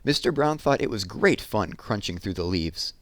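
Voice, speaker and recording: Expressive low-voiced man reading a children's story. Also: normal speed, no echo. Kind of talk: children's read-aloud.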